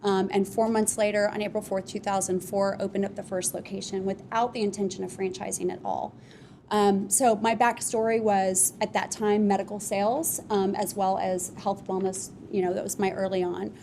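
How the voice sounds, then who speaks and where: A woman founder on a live podcast panel, telling her business story at length in a relaxed, rambling way with many fillers. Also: mid-range voice, normal speed, creaky, a little hiss or hum. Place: office podcast studio with live audience.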